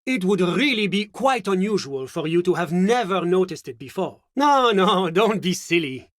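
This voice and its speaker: An actor playing a suave, evasive government bureaucrat in a video game, with a French accent and a formal, polite, measured delivery. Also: male voice, high voice, big pitch swings, no room sound, studio quality. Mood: smooth, evasive. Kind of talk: scripted game character dialogue.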